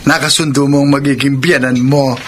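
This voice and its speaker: A man's voice: mid-range voice, flat delivery, fairly quick, a bit bright, close to an everyday voice. An actor playing a lighthearted, teasing young working man in a Filipino radio drama. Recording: clear noisy ambience behind voice.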